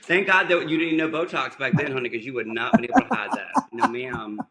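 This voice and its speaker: A man's voice: fairly high pitch, animated, fairly quick, gravelly, over a noisy crowd. A man co-hosting a podcast, chatting in a sassy, campy, teasing way. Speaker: podcast co-host. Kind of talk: casual chat.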